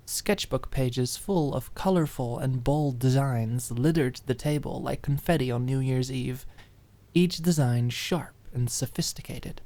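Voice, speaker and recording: A woman's voice: extremely low pitch, warm tone. A woman reading scripted story narration for an ASMR-style dramatic audiobook.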